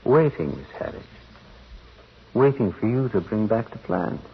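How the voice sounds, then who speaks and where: An actor playing a gentle, shrewd priest detective, hesitant and deliberate, quietly moralizing in 1940s American radio drama style. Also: male voice, mid-range voice, very animated, gravelly, husky, heavily acted. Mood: quietly knowing. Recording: muffled vintage radio broadcast.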